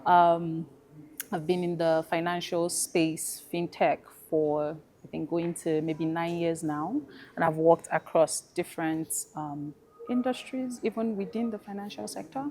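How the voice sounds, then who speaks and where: A woman's voice: fairly low pitch, fairly even intonation, unhurried, darkish tone, a little hiss or hum. A woman with a Nigerian accent, an easygoing panel guest who talks about her work and life with light laughs. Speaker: fintech founder, panel guest. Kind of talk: relaxed panel conversation.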